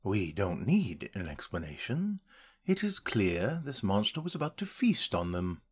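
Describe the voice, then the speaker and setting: A man playing a pompous, contemptuous High Elf soldier in a fantasy roleplay, formal and sneering. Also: wildly swooping pitch, measured pace, husky, exaggerated voice. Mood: suspicious. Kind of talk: fantasy roleplay audio drama.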